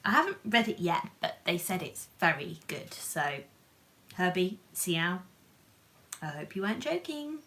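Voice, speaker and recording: A woman reading a picture book to children in an animated, playful way, with chatty asides and questions to her listeners. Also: lowish voice, measured pace. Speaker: children's storybook reader.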